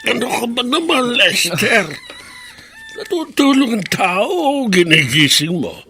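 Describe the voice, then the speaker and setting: A man's voice: sits high, big pitch swings, slow, bright, forceful tone, slight background noise, exaggerated voice. A man playing a stubborn, irritable, self-pitying elderly father in a radio drama, with a querulous, grumbling manner. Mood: grumpy. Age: older. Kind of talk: Tagalog radio drama acting.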